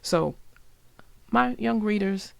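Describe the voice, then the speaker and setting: A woman reading a children's story aloud and then talking gently about its lesson. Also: medium pitch, takes it slowly, gravelly, no echo. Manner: gentle, reflective, explains morals.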